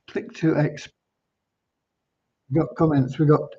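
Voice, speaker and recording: A man from Cornwall hosting an informal livestream, talking in an unhurried, earnest, slightly preachy way. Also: a little high, flat delivery, darkish tone, breathy edge. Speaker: livestream host and community leader. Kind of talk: informal livestream updates with devotional talk. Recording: dull lo-fi livestream audio.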